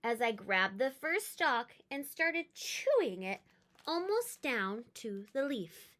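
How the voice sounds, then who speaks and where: A woman reading a children's story aloud in an expressive, emphatic way, with chatty asides. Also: a little high, slow.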